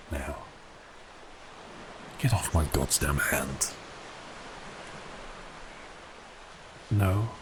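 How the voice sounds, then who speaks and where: A colossal cursed sea beast as acted by a man speaks in a deep, low register. He is grandly melancholy and grumbles with theatrical exasperation, an ASMR roleplay figure set against distinct background ambience. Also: background noise. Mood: grumbling, flustered.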